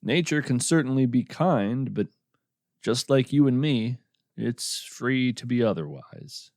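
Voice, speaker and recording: A man playing a wry, musing naturalist who hosts a radio show of the uncanny, narrating in a measured, contemplative, folksy way. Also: medium pitch, animated, darkish tone, no echo, clean studio sound. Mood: wry, reflective. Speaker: podcast host and narrator. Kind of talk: scripted narration, reflective storytelling with poetry reading.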